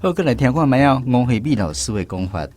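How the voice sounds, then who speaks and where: A man's voice: mid-range voice, sing-song, fast, a little mellow, faint music behind. A man with a Taiwanese accent hosting a language lesson segment on the radio. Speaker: radio show host.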